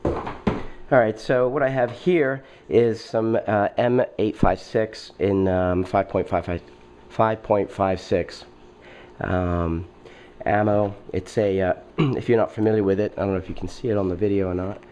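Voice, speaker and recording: A man with a head cold and a nasal voice, talking casually as a video host while unboxing, halting often with fillers and clearing his throat. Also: on the low side, steady tone, darkish tone, slight background noise.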